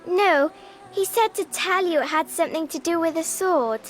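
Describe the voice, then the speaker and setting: A woman as a very high-voiced wilful young princess. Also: normal speed. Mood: cheeky. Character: wilful twelve-year-old princess, cheeky and demanding. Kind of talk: radio drama acting. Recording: faint outdoor ambience behind voice.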